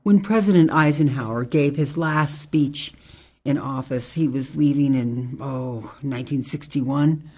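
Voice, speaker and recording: Radio drama: tough female ex-military ranger, played by a woman, measured, earnest monologues, low-voiced for a woman. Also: dark tone. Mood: solemn, earnest. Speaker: actor in an amateur radio drama.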